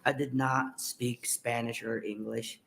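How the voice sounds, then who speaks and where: A middle-aged man from Guatemala whose first language is K'iche', reading testimony at a hearing with halting delivery and many fillers. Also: mid-range voice, flat delivery, vocal fry, fairly bright tone, slight background noise.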